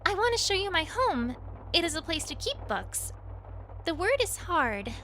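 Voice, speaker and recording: A woman plays a curious, bookish young female pony creature in an audio drama. The voice is high and bright with lively swoops, and the sentences are careful and simple, like a new language learner's. Some background noise sits behind it. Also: moderate pace, full character voice. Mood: eager, curious.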